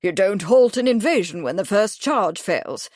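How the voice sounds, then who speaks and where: A woman's voice: medium pitch, lively intonation, normal speed, dry recording, clean studio sound, natural voice. A woman voicing a brusque, stubborn male company manager who growls his refusals. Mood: fierce. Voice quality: brusque, forceful.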